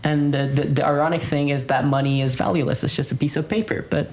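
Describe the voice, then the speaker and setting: A young man hosting a casual podcast discussion in a halting way. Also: fairly high pitch, steady tone, darkish tone. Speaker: podcast host. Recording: clearly noisy podcast recording.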